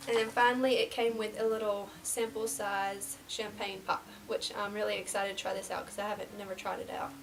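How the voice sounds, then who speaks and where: A young woman in her twenties, slightly nasal from a cold, chatting casually through a get-ready-with-me beauty vlog and dropping to soft half-whispers as she works. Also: medium pitch, little pitch movement, brisk, vocal fry, hardly any pauses, distant mic, rough recording. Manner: casual and rambling, drops to near-whispers while applying makeup. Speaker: beauty vlogger. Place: small indoor room before a plain backdrop.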